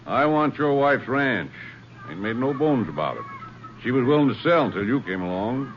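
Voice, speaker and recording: Domineering frontier land-grabber male actor, mocking, needling, animated delivery; lo-fi vintage radio western. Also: medium pitch, normal speed, natural voice. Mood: pushy. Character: taunting, domineering cattleman out to grab land. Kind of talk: 1950s radio western acting. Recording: dull, faintly noisy 1950s radio recording.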